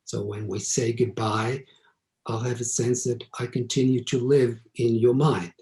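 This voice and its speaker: Slow-spoken interviewer in an echoey room. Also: male voice, mid-range voice, flat delivery. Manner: soft, unhurried, affirming. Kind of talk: interview questions and backchannel.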